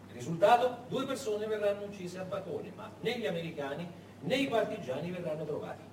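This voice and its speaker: A man's voice: high-pitched, expressive, fairly quick. A man narrating as a stage actor, in a dramatic, emphatic and suspenseful storytelling style. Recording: echoey hall, distant mic, clear background noise.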